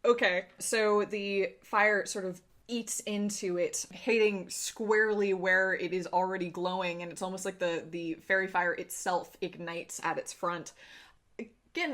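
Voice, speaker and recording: A woman's voice: medium pitch, a bit bright. A woman running a tabletop game, narrating scenes descriptively and talking casually with players. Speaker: game master.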